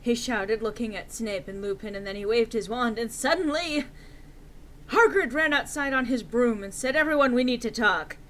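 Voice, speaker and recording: A woman's voice: fairly high pitch, normal speed, fairly bright tone. A woman narrating a story aloud in a theatrical, emphatic, comic dramatic-reading style.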